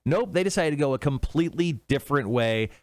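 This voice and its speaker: A man co-hosting a podcast, chatting casually about movies in an informal, emphatic way with some drawn-out words. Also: fairly high pitch, animated, darkish tone, no echo. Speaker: podcast host.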